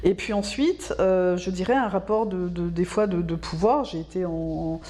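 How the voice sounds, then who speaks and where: A woman giving explanatory interview answers as a specialist, in a measured, didactic way, repeating words for emphasis. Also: lowish voice, dark tone. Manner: measured, didactic, emphatic repetition, checks understanding with tags. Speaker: local government specialist being interviewed.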